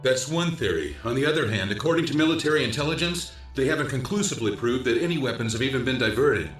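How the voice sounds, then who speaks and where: A man playing a diligent special-ops investigator, explaining findings in a measured briefing tone in a video game. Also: mid-range voice, expressive, fairly bright tone, roomy sound, faint music behind, close to an everyday voice, rough recording. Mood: matter-of-fact. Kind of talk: English dub acting in a video game cutscene.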